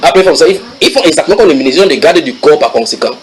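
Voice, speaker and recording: A man preaching a sermon to a congregation, reading English passages aloud and translating them with lively asides to his listeners. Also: a little high, racing, low-quality recording. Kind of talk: sermon teaching.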